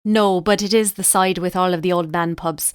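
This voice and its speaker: A woman's voice: on the low side, fairly even intonation, moderate pace, clean tone, no echo, clean studio sound, natural voice. A woman playing a chatty, proudly local Dubliner with an Irish accent as the guest on an improvised comedy podcast. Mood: proudly particular.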